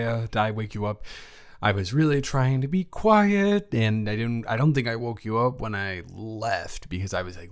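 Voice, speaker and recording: A man's voice: fairly low pitch, a bit bright. Man acting awkward, anxious young dormmate. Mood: gentle teasing. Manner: awkward, rambling, halting, self-correcting. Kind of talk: intimate audio roleplay acting, conversational.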